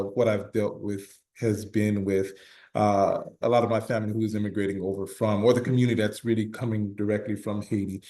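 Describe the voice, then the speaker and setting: A man's voice: on the low side, a little mellow, echoey room. A man speaking as a panelist in an online discussion, talking hesitantly with many fillers. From United States. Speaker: panelist, business chamber leader.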